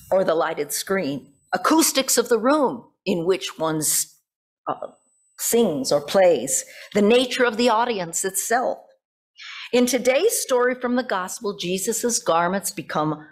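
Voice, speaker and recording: A middle-aged American woman delivering a reflective sermon from a church pulpit, phrase by phrase, putting questions to the congregation. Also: medium pitch. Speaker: pastor preaching. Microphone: gooseneck pulpit microphone.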